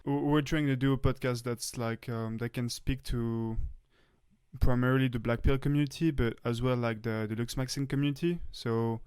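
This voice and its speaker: A young man from France with a French accent, hosting a casual podcast chat and talking haltingly with many fillers and restarts. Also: medium pitch, steady tone, a little mellow, clear, resonant tone. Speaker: podcast host.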